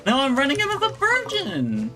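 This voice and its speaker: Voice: very high-pitched, very animated, normal speed. A video game streamer giving casual live commentary, thinking aloud and muttering to themselves while playing. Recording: game music behind the voice. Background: video game music.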